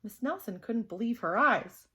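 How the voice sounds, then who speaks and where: A woman storyteller reading a children's book aloud in an expressive, dramatic style. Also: on the high side, normal speed.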